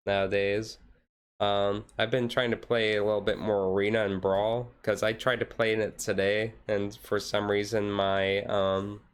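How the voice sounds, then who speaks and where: A man streamer chatting casually in a relaxed, rambling way on a chill hobby stream. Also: fairly low pitch, smooth.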